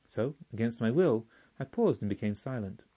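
A man reading the part of a proud, grieving noblewoman who tells her story in formal, measured audiobook narration. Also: mid-range voice, animated, warm tone, lo-fi sound, natural voice. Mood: indignant. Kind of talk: audiobook first-person narration.

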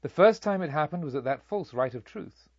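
Storybook holy monk, man reading the part: calm, measured, gently admonishing, a touch husky, dull recording. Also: on the high side, no echo. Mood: quiet reproach. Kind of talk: dramatised audiobook dialogue.

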